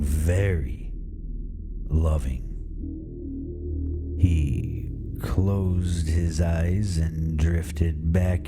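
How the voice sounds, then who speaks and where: A man hosting and telling a horror story in a measured, suspenseful style with dramatic pauses. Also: sits low. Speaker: host and storyteller. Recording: music behind the voice.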